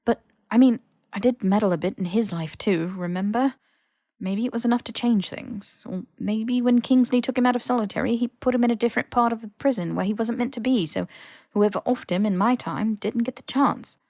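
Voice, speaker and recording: A woman's voice: fairly high pitch, fairly quick, a little mellow, dry recording, studio quality, pitched above the performer's own voice. A woman voicing a hesitant, defensive but well-meaning young British man in an audiobook story. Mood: hesitant, explaining. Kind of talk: audiobook character dialogue.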